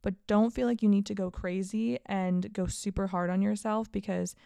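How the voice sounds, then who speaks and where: A woman's voice: mid-range voice, steady tone, normal speed, vocal fry, dark tone, clean tone, no room sound, studio quality. A woman in her late twenties hosting a casual solo podcast, talking candidly and upbeat about her year. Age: 20s. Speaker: podcast host.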